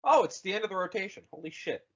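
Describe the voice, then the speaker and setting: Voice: mid-range voice, animated, fast, husky, dull audio. A podcast co-host chatting casually, swearing freely and reading out bits of wiki entries.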